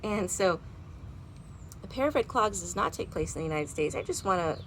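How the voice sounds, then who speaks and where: A woman speaks to young children as a storytime host, with a lively, swooping delivery. She uses simple words and often turns to her listeners with questions. She is recorded outdoors, with faint noise around her. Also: on the low side, a little fast. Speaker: children's librarian hosting storytime. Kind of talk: read-aloud and chat to young children. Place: outdoors at home.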